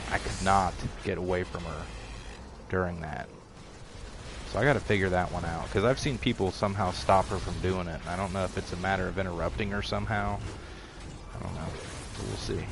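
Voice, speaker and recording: A man's voice: low voice, steady tone, a little fast, creaky, noisy background. A man giving rambling, self-deprecating commentary while streaming a video game. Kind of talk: casual gameplay commentary.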